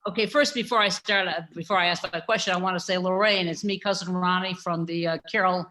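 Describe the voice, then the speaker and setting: A woman takes her turn as an audience member on a video call. Her voice is on the low side and strikingly bright, and she talks in an informal, chatty, familiar way. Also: fairly even intonation, fast talker. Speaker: audience member asking a question. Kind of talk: informal spoken question.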